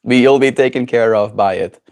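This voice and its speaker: A man's voice: mid-range voice, a little fast, darkish tone. A man from South Africa with a South African accent, hosting a casual livestream chat and talking in a reflective, meandering way that keeps checking in with "right?". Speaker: livestream host.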